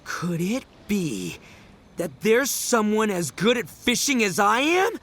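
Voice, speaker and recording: A man's voice: high-pitched, big pitch swings, slow, fairly bright tone, heavily acted. A man voicing a boastful, exuberant young fishing fanatic in an anime game. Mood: fired up.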